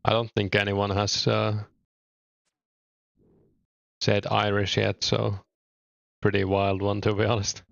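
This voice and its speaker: Low flat Finnish-accented young man. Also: slow pace, creaky, long pauses, no room sound, highs cut off. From Finland. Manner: relaxed, hesitant, thinks aloud. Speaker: game streamer. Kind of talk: casual chat reading viewer comments.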